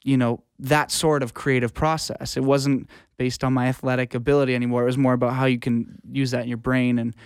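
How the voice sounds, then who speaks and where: A man's voice: medium pitch, flat delivery, a little fast, hardly any pauses, clear, resonant tone, no room sound, clean studio sound. A young adult man telling his story as a guest in a casual, informal conversational style. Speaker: interview guest, audio engineer.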